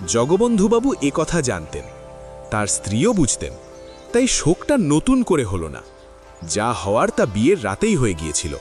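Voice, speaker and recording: Male narrator, expressive story reader, lively intonation. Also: mid-range voice, normal speed, faint music behind. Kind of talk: scripted literary narration.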